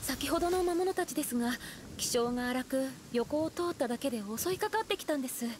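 A young fantasy village woman, high in pitch with lively swings. She is earnest and feminine in an anime game style, with faint noise behind her. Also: fast talker. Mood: polite, apologetic.

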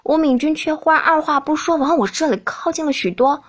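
A woman reading a novel as an expressive audiobook narrator. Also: high voice, fairly quick.

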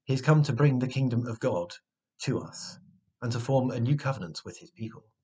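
A man in his twenties with a slightly husky voice, giving a measured, earnest sermon, recorded indoors at home on a device mic. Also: mid-range voice, lively intonation, mellow. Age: 20s. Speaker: preacher. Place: small room at home, indoors. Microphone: webcam or device mic at arm's length.

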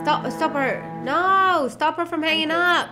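A woman's voice: high voice, unhurried, pushed and bright. A young woman co-hosting a casual game playthrough with sarcastic, teasing remarks. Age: young adult. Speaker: co-host. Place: small room, seated indoors. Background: game's film music.

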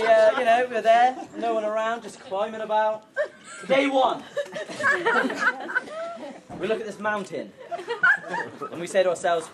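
A young man in his twenties giving a joking best man speech at an outdoor wedding, telling stories and chuckling as he goes. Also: high voice. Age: 20s. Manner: joking, storytelling, chuckling through lines. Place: outdoor pub garden at a wedding. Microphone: far camera. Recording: crowd laughter and loud noise from a far camera. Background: laughing wedding crowd.

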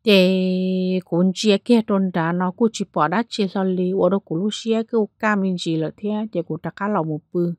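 A woman's voice: lowish voice, moderate pace, hardly any pauses, darkish tone, no room sound. Woman telling a ghost story. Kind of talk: spoken ghost story narration.

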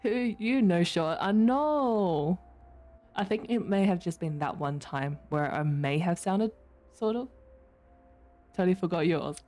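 A woman from Australia with an Australian accent chats casually on a live stream, answering viewers in a slightly low, easygoing voice. English is not her first language. She laughs often and breaks into high-pitched squeals. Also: lively intonation, measured pace, dark tone. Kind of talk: casual chat reading chat messages.

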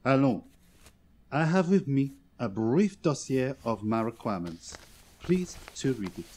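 A fussy little Belgian detective as a man acts him in a radio drama. His French-accented speech is formal and exacting, in a soft, dark tone. There is faint noise behind him. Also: medium pitch, very animated, a little slow. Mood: polite, insistent. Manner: precise, formal, pedantic. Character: fussy, proud, courteous Belgian male detective. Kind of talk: amateur radio play acting.

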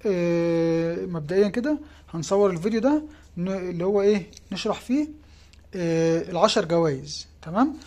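A man's voice: sits high, a little mellow. A man with an Egyptian Arabic accent, a fishing tackle shop owner, casually presenting giveaway prizes in his shop and coaxing children along, often checking in with "tamam?".

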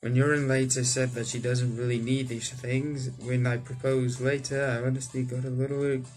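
A man's voice: mid-range voice, level tone, moderate pace, a little mellow. A young man hosting a visual novel let's play, reading text aloud and adding exclamatory, theatrical asides. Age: young adult. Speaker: gaming video host. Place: indoor room. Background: game music.

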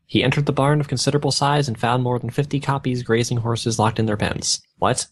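A man's voice: mid-range voice, fairly even intonation, a little fast. A man reading fan fiction aloud, stumbling over odd words and breaking off with casual joking comments. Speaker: reader of fan fiction on a gaming video.

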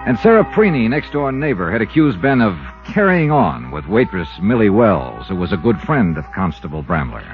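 A man's voice: lowish voice, very animated, normal speed, few pauses. An American man acting as a dogged, skeptical insurance investigator in a 1950s radio drama, with a clipped, hard-boiled delivery. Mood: brisk, wry. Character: dogged, skeptical male insurance investigator narrating his case. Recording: muffled 1950s radio recording with music.

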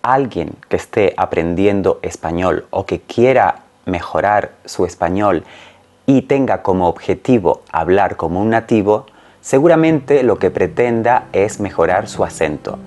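A man in his forties, a native Spanish speaker, explaining carefully and clearly as a language teacher talking to camera. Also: sits low, expressive, measured pace, a little mellow. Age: 40s. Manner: clear, careful, didactic phrasing. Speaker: Spanish teacher and channel host. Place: small room at home, indoors.

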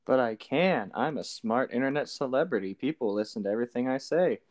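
A man jokes and reads aloud as a co-host, sarcastic and theatrical. His voice is of middle pitch but swings widely, giving the delivery a very animated shape. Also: a little fast. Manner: jokey, sarcastic. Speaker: co-host of a group read-along commentary show. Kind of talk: joking chat and theatrical reading aloud.

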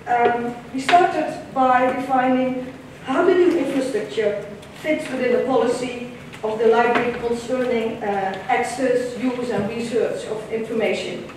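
A woman with a Dutch accent giving a conference presentation in a large lecture hall, measured and hesitant, with frequent ums and restarts. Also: a little high, steady tone, background noise. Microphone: room camera far from the stage. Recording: echoey lecture hall from far off.

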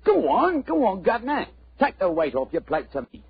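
An actor playing a cheery, pushy furniture remover with a Cockney accent and husky voice, in 1940s radio style. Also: male voice, sits high, wildly swooping pitch, normal speed, dry recording, exaggerated voice. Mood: chummy, coaxing. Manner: chummy, coaxing, rhyming slang. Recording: muffled old radio recording.

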